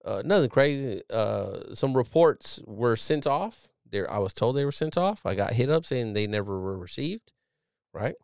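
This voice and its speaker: A man in his thirties hosting a podcast, talking casually as a Navy chief, loud and profane with a strong voice. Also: medium pitch, moderate pace, gravelly, no echo, studio quality. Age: millennial, 30s. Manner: animated, profane, loud and emphatic. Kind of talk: casual solo podcast commentary.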